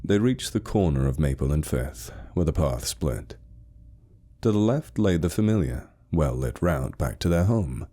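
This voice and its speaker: A man narrating a scripted horror story like a dramatic audiobook, in a measured, deliberate and ominous way. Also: rumbling low, expressive, warm tone.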